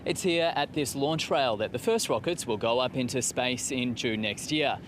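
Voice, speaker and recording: A man's voice: mid-range voice, normal speed, fairly bright tone, slight background noise. A man with an Australian accent narrating a scripted television news report.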